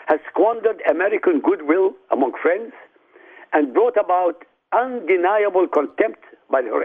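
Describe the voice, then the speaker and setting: Gravelly man on a phone line. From Iraq. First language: Arabic. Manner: emphatic, declamatory, stresses words with rising pitch. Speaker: radio guest commentator. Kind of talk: prepared political commentary.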